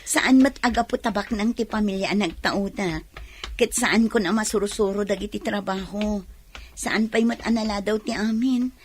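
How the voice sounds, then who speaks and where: An actress playing an earnest, dreamy young woman with an Ilocano accent in a radio drama, soft and wistful with a breathy, husky voice. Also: medium pitch, moderate pace, slight background noise, natural voice. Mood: earnest, hopeful. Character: earnest, dreamy young woman, simple rich man's daughter.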